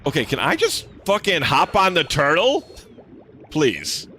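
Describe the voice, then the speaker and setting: A man's voice: huge pitch swings, a little slow, bright, forceful tone, a little hiss or hum. A young man streaming a game, chatting casually with his viewers; he has a slight lisp and talks in a laid-back, swear-sprinkled way. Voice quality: relatively deep voice. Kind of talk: casual live-stream chat while gaming.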